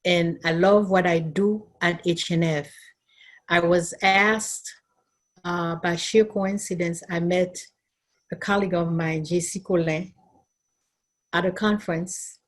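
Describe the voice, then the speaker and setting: A middle-aged Haitian woman who is a nurse and educator, telling her story in an earnest, thoughtful way with pauses and fillers. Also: on the low side. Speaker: nurse and nursing educator, interviewee. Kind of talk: recorded interview, personal recollection.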